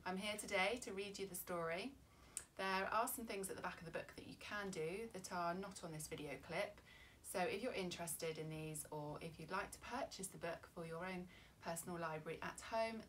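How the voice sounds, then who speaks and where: A woman reading a children's story in an expressive, measured and dramatic way. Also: fairly low pitch, a little hiss or hum.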